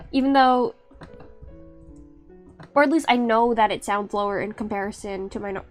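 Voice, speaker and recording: High-pitched young woman streamer, rambling. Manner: rambling, self-interrupting, laughs often. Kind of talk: casual live-stream chat.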